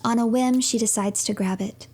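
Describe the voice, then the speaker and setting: A woman narrating a video game as a story in a scripted third-person style, storyteller-like, often dropping to a hush at the ends of phrases. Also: on the high side, fairly even intonation, moderate pace, husky. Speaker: streamer narrating game playthrough.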